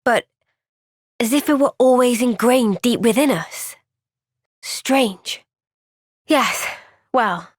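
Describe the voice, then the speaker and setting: An actress voicing a young woman soldier in a game cutscene, soft-spoken and caring. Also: sits high, dry recording, clean studio sound.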